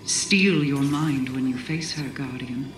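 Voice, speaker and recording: A woman playing a regal, guarded queen in a video game, formal, measured and commanding. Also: a bass voice, background noise. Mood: stern, commanding. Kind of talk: video game cutscene acting. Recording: echoey, distant, loud music behind.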